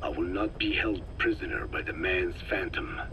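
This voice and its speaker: An actor playing a very old, solemn, guilt-ridden scientist, speaking gravely and deliberately in a breathy, gravelly voice for a video game. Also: male voice, sits low, lively intonation, muffled recording, background noise. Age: older. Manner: grave, deliberate, measured storytelling. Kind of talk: dramatic video game monologue.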